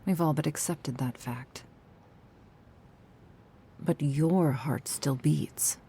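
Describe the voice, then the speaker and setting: Woman acting a low, slow, creaky vampire woman. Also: dark tone, a little hiss or hum. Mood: solemn, wistful. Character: ageless vampire woman gardener, sardonic yet tender, protective. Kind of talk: slow intimate ASMR roleplay acting.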